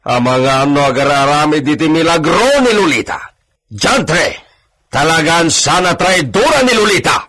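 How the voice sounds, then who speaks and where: An actor playing a domineering, suspicious landowner husband in a radio drama, imperious and quick to rage, peppering his talk with Spanish exclamations. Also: fairly high pitch, very animated, pushed and bright, exaggerated voice. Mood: furious.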